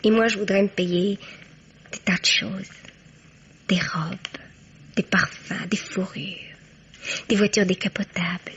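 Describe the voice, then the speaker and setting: A woman's voice: mid-range voice, fairly even intonation, takes it slowly, pauses often, a bit bright, slight background noise. An actress playing a cheeky young woman blackmailer, chatty, sly and mock-innocent, in a French radio crime drama. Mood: coy, greedy. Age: young adult. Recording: dull, band-limited radio sound.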